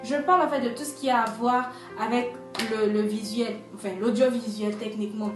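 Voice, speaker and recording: A woman's voice: fairly high pitch, fairly even intonation, fairly quick. A woman from Benin, interviewed, explaining her points one by one in an emphatic, structured way and often checking in with "d'accord". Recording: echoey room, distant mic, faint music.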